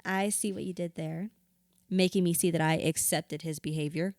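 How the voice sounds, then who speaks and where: Actress as grieving widow for therapy audio drama: lower female register, reflective, candid, wry, dry studio sound. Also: steady tone, normal speed, darkish tone, no room sound, close to an everyday voice. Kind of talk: audio drama acting, conversational.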